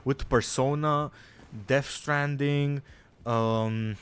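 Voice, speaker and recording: A man's voice: mid-range voice, steady tone, extremely slow, clean tone. A young man from Romania, chatting casually as a gaming streamer, rambling, swearing and saying "bro" a lot.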